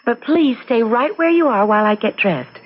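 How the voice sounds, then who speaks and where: An actress playing a breezy young engaged daughter in a 1970s radio drama, playful and teasing, with a slight creak in her voice. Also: fairly high pitch, animated, moderate pace. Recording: dull lo-fi old radio broadcast.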